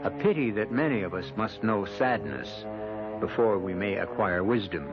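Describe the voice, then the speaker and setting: A man narrating as the grave, wry host of a radio mystery series, with measured, ominous, literary phrasing and a gravelly voice. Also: fairly low pitch, expressive, close to an everyday voice. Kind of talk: dramatic scripted radio narration. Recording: muffled vintage radio recording. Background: dramatic music.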